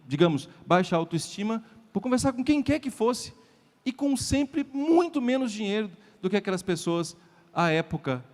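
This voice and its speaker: Emphatic high-voiced Brazilian man lecturing. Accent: Brazilian Portuguese. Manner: emphatic, repeats key lines, rhetorical questions to the audience. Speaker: lecturer at a sales event. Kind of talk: motivational lecture. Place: event hall before a live audience. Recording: reverberant hall sound.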